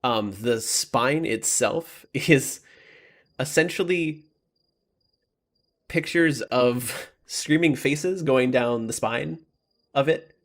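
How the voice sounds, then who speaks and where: A man narrating a tabletop game as game master, casual and halting, often correcting himself as he describes things. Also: mid-range voice.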